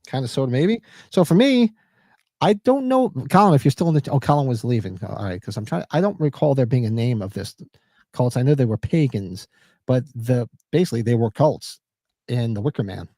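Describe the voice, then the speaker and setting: A man co-hosting a live podcast, chatting loosely, joking and reading chat comments, a little hoarse. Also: medium pitch, lively intonation, brisk, mellow, dry recording, studio quality. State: losing his voice. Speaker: podcast co-host. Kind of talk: casual live-stream chat.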